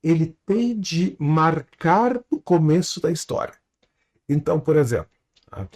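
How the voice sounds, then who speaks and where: A man speaks with a foreign accent picked up in southern Brazil. His voice is gravelly and sits a little high for a man. He teaches in an animated way, checking in with short tags and dropping into quiet asides. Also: measured pace. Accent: foreign, learned in southern Brazil. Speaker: storytelling consultant, guest. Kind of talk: interview, explaining with examples.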